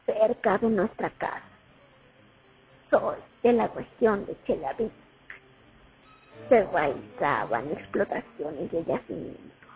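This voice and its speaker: A woman's voice: mid-range voice, animated, slow pace, long pauses. A woman playing a haunted young Soviet sniper remembering the war in a radio drama monologue. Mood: grieving, tense. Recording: tinny phone-like audio, music underneath.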